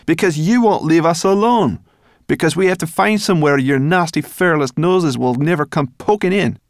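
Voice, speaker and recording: A man's voice: mid-range voice, expressive, normal speed, no room sound, clean studio sound, pitched above the performer's own voice, natural voice. A man voicing a cross, grumbling female brownie creature in a storybook reading. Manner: grumbling, scolding.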